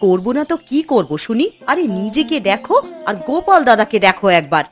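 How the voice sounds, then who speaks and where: A woman playing a sharp-tongued, discontented young village wife in a cartoon, nagging and defiant. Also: fairly high pitch, sing-song, fairly quick, faint music behind. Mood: angry, taunting. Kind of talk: scripted cartoon dialogue.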